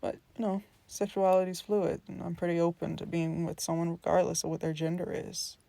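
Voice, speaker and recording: Woman voicing a gentle, easygoing young man with a creaky voice. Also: on the low side, level tone, a little fast, no room sound. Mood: open, relaxed. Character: warm, supportive queer young man, easygoing friend. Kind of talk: scripted character dialogue, naturalistic.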